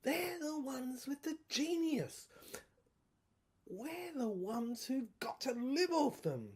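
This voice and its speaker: A woman's voice: high-pitched, expressive, slow pace, a little mellow, breathy edge, pitched above the performer's own voice, full character voice. A woman acting out a blustering, ruthless male army general in a serial audio drama, barking orders in a hearty old-boy manner. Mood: fervent, grandiose. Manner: clipped commands, hearty "old boy" address.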